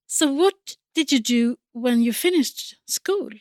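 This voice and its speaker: A woman's voice: high-pitched, expressive, takes it slowly, darkish tone, no room sound. A woman hosting a podcast who asks brief, direct interview questions. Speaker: podcast host.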